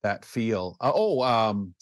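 A man's voice: fairly low pitch, sing-song, slow pace, dry recording, studio quality. A man co-hosting a radio show in casual chat, rambling in broken sentences. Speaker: radio co-host.

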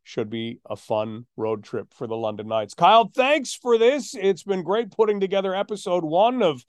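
Higher-voiced man hosting a sports podcast. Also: sing-song, moderate pace, clean tone, dry recording, studio quality. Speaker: podcast host and hockey radio play-by-play announcer.

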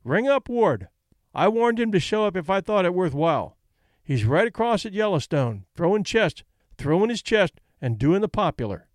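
A man reading an audiobook, voicing a gruff, impatient male fight promoter who snaps and blusters. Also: sits high, big pitch swings, moderate pace, dry recording, studio quality, pitched above the performer's own voice. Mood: brusque. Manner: snapping, blustering.

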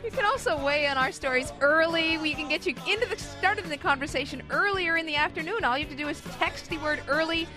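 A woman's voice: high-pitched, quick pace, few pauses. A woman with an American accent presenting as a radio co-host and addressing listeners. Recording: music playing behind the voice. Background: music.

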